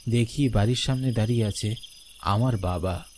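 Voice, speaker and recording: A man's voice: fairly low pitch, normal speed, a little mellow. A man narrating a scripted horror story in the first person. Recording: background noise with night crickets.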